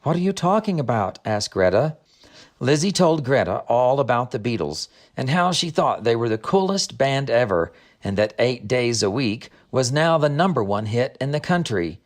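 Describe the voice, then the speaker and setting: A man narrates a storybook audiobook in a measured storytelling manner. His mid-range voice moves with very lively, expressive intonation. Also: medium pitch.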